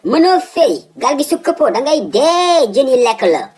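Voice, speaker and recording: A man's voice: extremely high pitch, sing-song, unhurried, very noisy, exaggerated voice. A man voicing a fussy, stubborn old father in a comic cartoon, with a Senegalese accent, exclaiming and repeating his words emphatically. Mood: alarmed, pleading.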